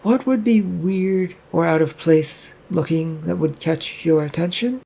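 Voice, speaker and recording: Man acting a questioning, raspy female investigator. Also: a little high, unhurried, dark tone, heavily acted.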